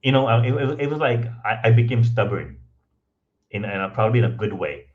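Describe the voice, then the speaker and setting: A man speaking English as a second language, guesting on a podcast and telling his story in a relaxed, conversational way, with many ums and "right?" tags. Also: mid-range voice, a little fast, phone-quality sound. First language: not English. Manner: frequent ums and restarts, tag questions of "right?".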